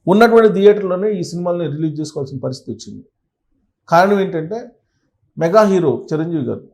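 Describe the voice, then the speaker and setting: A man's voice: high-pitched, a little mellow. A man presents conversational news commentary and analysis, stressing points emphatically and repeating phrases. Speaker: presenter of a film news channel.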